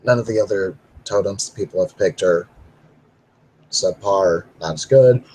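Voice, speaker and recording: A man's voice: deep voice, a little slow, a little mellow, slight background noise, poor audio. A man playing a resigned, self-deprecating urban werewolf in a tabletop roleplay, wry and noncommittal. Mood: matter-of-fact. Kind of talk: in-character tabletop roleplay dialogue.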